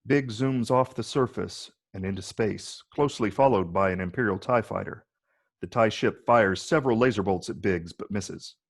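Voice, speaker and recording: A man with a gravelly, husky voice reading screenplay stage directions as scripted narration. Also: on the low side, moderate pace.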